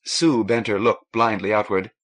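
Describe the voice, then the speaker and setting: A man's voice: on the low side, fairly even intonation, moderate pace. A man reading a novel aloud in a scripted, literary narration style. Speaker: audiobook narrator.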